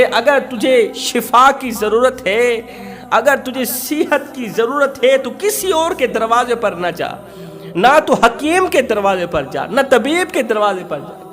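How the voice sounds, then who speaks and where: A man's voice: extremely high pitch, fairly bright tone. A man preaching an emotional Islamic storytelling sermon, impassioned and emphatic, repeating phrases for effect.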